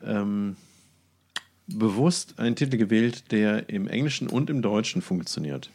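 A man co-hosting a podcast in casual music talk, adding wry, measured remarks. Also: fairly low pitch, lively intonation, a little mellow. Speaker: podcast co-host.

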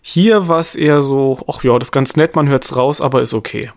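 A man's voice: mid-range voice, moderate pace, creaky. A man co-hosting a music podcast, talking casually about songs and singers.

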